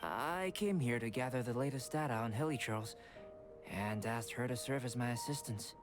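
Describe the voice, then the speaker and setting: Guy as calm aloof young scholar alchemist, mid-pitched, measured and polite, game music behind. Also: lightly acted. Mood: composed. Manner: composed, measured, polite, detached. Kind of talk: video game character dialogue.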